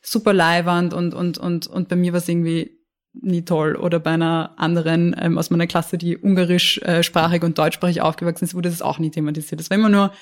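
A woman's voice: on the low side, little pitch movement, fairly quick. A young woman from Austria, a lawyer talking candidly as an interview guest, often restarting and repeating words. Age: young adult. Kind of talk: casual interview conversation.

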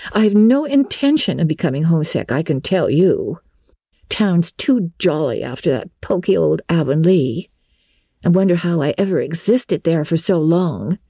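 A woman's voice: on the low side, mellow, husky, no room sound, studio quality. Woman acting a smug, superior schoolgirl. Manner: condescending, scornful.

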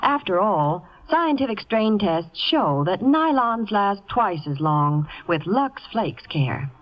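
A woman reads a vintage radio commercial. She is unhurried and very melodic, heard through a muffled old broadcast. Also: medium pitch, very animated. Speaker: commercial spokeswoman. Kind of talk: 1950s radio advertising read.

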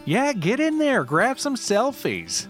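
A man's voice: extremely high pitch, huge pitch swings, slow, fairly bright tone, faint music behind, voice pushed up, full character voice. A man voicing a cheery, smug young city hipster guide with a patronizing, slangy manner. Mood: playful, condescending. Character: cheery, smug twenty-four-year-old male city hipster mushroom guide.

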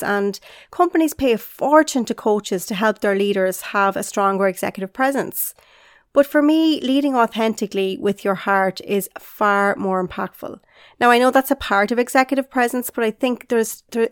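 A woman's voice: mid-range voice, smooth, no echo, studio quality. Upbeat woman, a bit nasal. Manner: upbeat, encouraging, direct to listener. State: congested, nasal from illness. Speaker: podcast host and leadership coach. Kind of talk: solo podcast talk.

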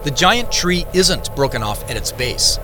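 An actor narrating a vivid dream in the first person, calm and descriptive. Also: male voice, a little high, a little slow. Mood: dreamy. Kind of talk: scripted narration. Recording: clear music behind the voice.

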